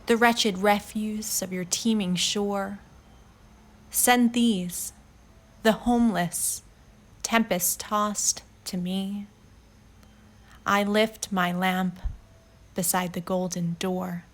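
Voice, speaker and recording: A woman's voice: mid-range voice, steady tone, slow pace, long pauses. A woman reciting a poem in a documentary. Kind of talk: slow poetry recitation.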